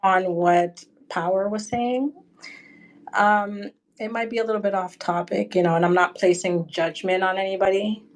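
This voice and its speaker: A woman's voice: medium pitch, fairly even intonation, moderate pace. Chatty Canadian woman caller. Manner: chatty, rambling, keeps adding okay and right. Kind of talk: call-in discussion.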